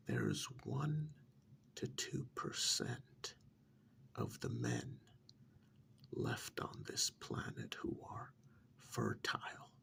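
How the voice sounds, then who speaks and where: A man acting in a soft-spoken ASMR role-play as a sardonic, pampered captive in a dystopia, taunting and condescending in a breathy near-whisper with deliberate pauses. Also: mid-range voice, heavily acted.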